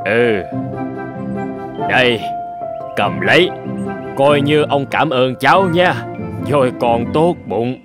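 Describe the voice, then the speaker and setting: A man playing a kindly old grandfather goat in a children's cartoon, gentle and reassuring. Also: medium pitch, takes it slowly, pauses often, over music, lightly acted.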